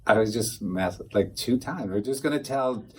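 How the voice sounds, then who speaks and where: Man, lowish voice, couples therapist teaching a small group, rambling, acts out little dialogues, faint background hiss. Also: moderate pace, darkish tone.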